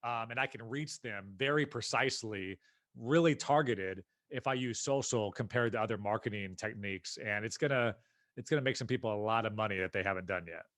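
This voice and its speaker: A man's voice: on the low side, brisk, fairly bright tone, no room sound, clean studio sound. A man with an American accent answering interview questions in a casual, emphatic, opinionated way as a podcast guest. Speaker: podcast guest, company founder and CEO.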